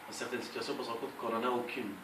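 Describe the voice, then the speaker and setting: A young man from Belgium, answering interview questions in a calm, measured way with frequent hesitations. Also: mid-range voice. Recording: echoey room, voice far from mic, noisy.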